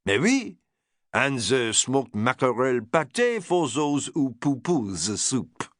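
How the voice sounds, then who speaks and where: A man's voice: mid-range voice, big pitch swings, slow, fairly bright tone, no echo, studio quality. A man voicing a short, rotund French chef with a strong French accent, proud and theatrical. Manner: indignant, theatrical.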